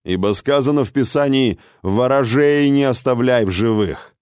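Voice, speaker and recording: A man's voice: medium pitch, normal speed, a little mellow, smooth, dry recording, close to an everyday voice. Man playing a cold, commanding ruler, dry sound. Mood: cold, stern. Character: stern, cold, imperious male ruler, the Archon. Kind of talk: audiobook character acting.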